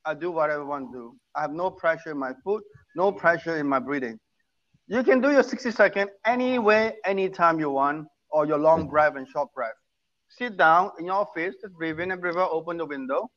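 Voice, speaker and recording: A man's voice: a little high, brisk, a bit bright. A man in his sixties, talking casually and emphatically, often repeating his points. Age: 60s. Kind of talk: casual chat. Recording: muffled lo-fi audio.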